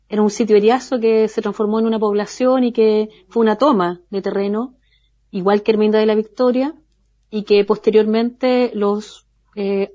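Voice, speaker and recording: A woman with a Chilean accent, a guest speaking slowly and thoughtfully with many pauses and fillers. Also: mid-range voice, warm tone, no room sound, dull audio. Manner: slow, thoughtful, many hesitations. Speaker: guest from a community cultural center. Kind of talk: remote interview talk.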